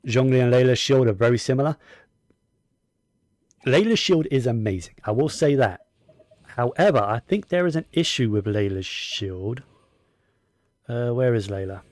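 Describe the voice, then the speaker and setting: A man's voice: mid-range voice, moderate pace, pauses often, darkish tone, no room sound, studio quality. A man giving casual gameplay commentary, rambling and opinionated, often talking to himself as he plays. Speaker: gaming streamer.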